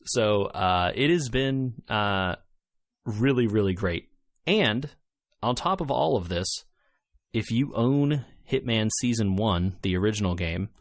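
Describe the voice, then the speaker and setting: A man's voice: lowish voice, no room sound, studio quality. A man co-hosting a podcast, explaining things in an informal, enthusiastic way in casual chat about video games. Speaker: podcast co-host reviewing games.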